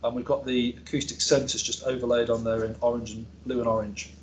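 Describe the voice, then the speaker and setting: A man's voice: medium pitch, level tone, brisk, slight background noise, poor audio. A male academic researcher presenting a technical seminar in an informal, explanatory way, with hesitations and self-corrections. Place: seminar room with an audience present.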